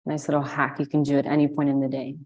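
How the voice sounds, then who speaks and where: A woman leading a guided meditation, giving slow, soothing instructions with long pauses. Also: low-pitched, level tone, a little mellow, dull audio. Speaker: meditation and yoga teacher.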